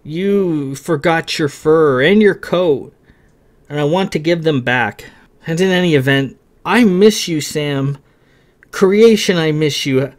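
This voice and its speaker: A man's voice: on the high side, takes it slowly, voice pushed up. A man reading a story, voicing a young man who pleads and apologizes in raised, stumbling bursts. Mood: pleading.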